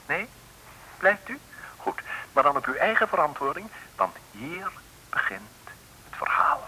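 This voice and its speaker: A man's voice: a little high, big pitch swings, unhurried. A man acting as a sly, conspiratorial narrator of an old Dutch comic radio serial, addressing the listener, on a noisy vintage recording. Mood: secretive, teasing. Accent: Dutch from the Netherlands. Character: sly conspiratorial storyteller guiding listeners around.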